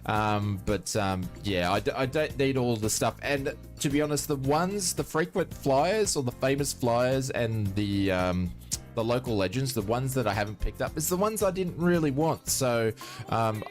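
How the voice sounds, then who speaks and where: A man's voice: mid-range voice, animated, moderate pace, faint music behind. An Australian man sounding nasal from hay fever, chatting casually and rambling through a flight sim livestream, answering chat as he goes.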